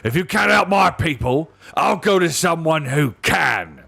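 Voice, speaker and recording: A man's voice: on the low side, lively intonation, measured pace, gravelly, fairly bright tone, breathy edge, heavily acted. A man voicing a bitter, quarrelsome male dwarf in a storybook, with a sneering, combative delivery. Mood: angry.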